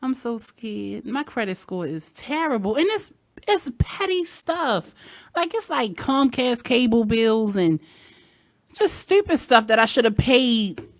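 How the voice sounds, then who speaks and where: A woman's voice: fairly high pitch, very animated, unhurried, dry recording. A woman radio host with a Baltimore accent, chatting candidly with sassy asides. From Baltimore, Maryland. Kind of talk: casual chat. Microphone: headset.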